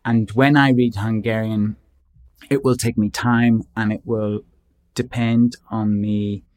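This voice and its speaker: A man from Northern Ireland, a translator, giving measured, reflective interview answers and now and then restarting a phrase. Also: fairly low pitch, little pitch movement, a little mellow. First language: English.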